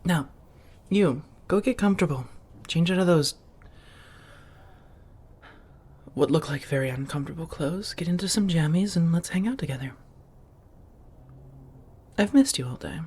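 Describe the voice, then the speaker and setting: A soft-spoken woman acting an affectionate, refined female vampire roommate in an ASMR roleplay, often near a whisper, with formal phrasing and coy pet names. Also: deep voice, animated, moderate pace, breathy edge. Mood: affectionate, teasing.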